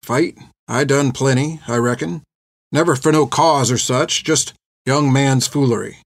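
A man acting out a gruff, haunted old pirate. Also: medium pitch, measured pace, no echo, clean studio sound, natural voice. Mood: weary, guarded. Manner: folksy, ungrammatical rustic old-sailor talk. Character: old reformed pirate, gruff, haunted, fierce yet tender. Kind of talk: dramatic audiobook character acting.

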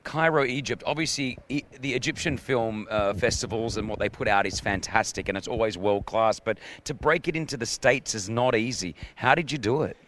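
Bright, punchy male radio host. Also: mid-range voice, fairly quick, hardly any pauses. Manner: hyped, informal announcer delivery. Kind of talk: upbeat live radio interview.

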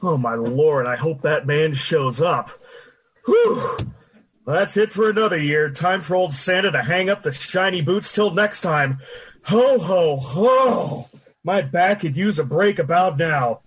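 An actor playing a jolly but weary old department store Santa, folksy and hearty, in an old-time radio drama. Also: male voice, fairly high pitch, expressive, unhurried, husky. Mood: weary, worried.

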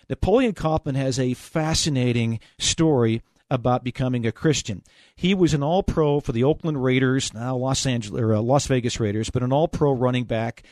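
A man's voice: medium pitch, darkish tone, dry recording. A man with an American accent hosting a conversational sports call-in show on the radio.

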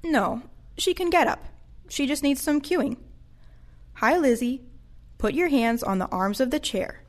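A woman playing a kind, patient care-home aide in an audio play, gentle and encouraging. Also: high-pitched, expressive, a little slow.

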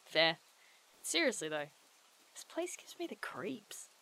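An actress playing a sardonic, excitable young woman who grumbles and swears her way through an audio drama adventure. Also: medium pitch, slow pace, creaky, exaggerated voice. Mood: wry. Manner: sarcastic, grumbling, casually sweary. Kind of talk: naturalistic audio drama dialogue.

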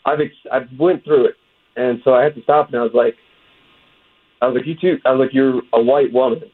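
A man's voice: medium pitch, fairly even intonation, fairly quick, breathy edge. Man talking on a tinny phone line. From West Virginia, USA. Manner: earnest, recounting with quoted back-and-forth. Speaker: guest, comedian. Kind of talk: phone-in interview.

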